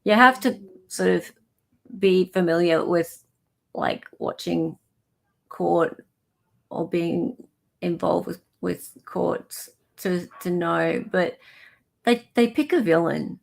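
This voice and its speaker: A woman's voice: on the low side, slow, creaky, pauses often. A woman host from Australia giving casual live commentary, sympathetic and emphatic, repeating phrases for stress.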